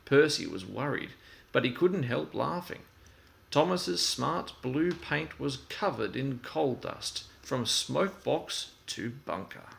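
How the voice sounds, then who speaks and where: A man reading a children's story aloud in an expressive storytelling style. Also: mid-range voice, takes it slowly, vocal fry.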